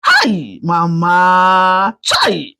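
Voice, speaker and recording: A woman's voice: lowish voice, wildly swooping pitch, very slow, vocal fry, heavily acted. A woman voicing a furious grown son accusing his mother. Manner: shouting accusations.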